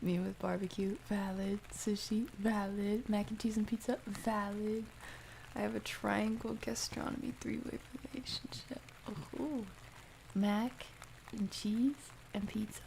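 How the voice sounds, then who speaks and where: A soft-spoken woman hosting an ASMR livestream, chatting casually and gently, often dropping toward a whisper. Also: mid-range voice, steady tone, unhurried, vocal fry, slight background noise, poor audio. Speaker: livestream host. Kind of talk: ASMR livestream, casual chat reading and answering viewer comments.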